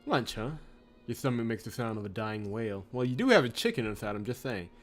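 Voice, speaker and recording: A man's voice: medium pitch, animated, a little fast. Sarcastic man doing game commentary. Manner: sarcastic, joking, exclaiming. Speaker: let's player. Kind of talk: playthrough commentary and narration reading. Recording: game music behind the voice.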